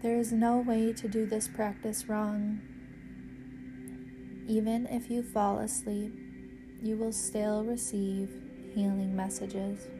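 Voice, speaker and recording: Slow, even-toned woman guiding meditation over music. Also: mid-range voice. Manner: measured, soothing, instructional delivery with pauses. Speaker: yoga nidra meditation guide and podcast host. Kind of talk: guided meditation narration.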